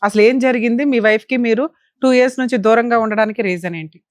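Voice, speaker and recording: A woman advocate fires off probing questions at a rapid clip. Her voice sits a little high. The sound is dry and close, with no room echo. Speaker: advocate counselling a caller on a family dispute show. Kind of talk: studio counselling talk.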